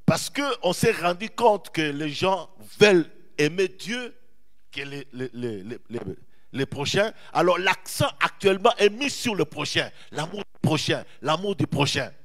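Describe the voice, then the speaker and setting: Man preaching, fairly high voice, very lively swinging pitch, stretches key words. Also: unhurried. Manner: animated preaching, repeats phrases, draws out key words. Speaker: pastor. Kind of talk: sermon.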